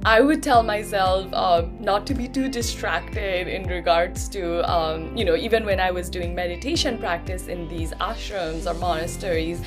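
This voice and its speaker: A woman from Nepal, a professor and yoga teacher, talking thoughtfully as an interview guest and often pausing to search for words. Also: lowish voice, over music. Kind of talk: casual interview conversation.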